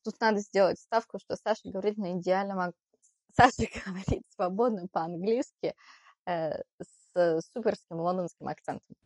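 A woman's voice: on the low side, normal speed, darkish tone, dry recording. Playful woman co-host chiming in. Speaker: podcast co-host. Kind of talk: casual podcast chat.

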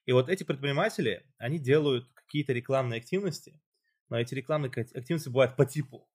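A man's voice: mid-range voice, quick pace, darkish tone, slightly rough. A man hosting a casual chat, explaining at length with loose phrasing and fillers. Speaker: podcast host.

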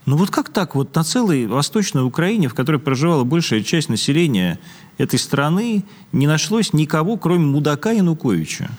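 A male interview host with a lively, animated pitch questions his guest in a challenging, sardonic way. His voice has a long reverb tail, like a room that rings on after each phrase. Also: a little fast. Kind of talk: interview conversation.

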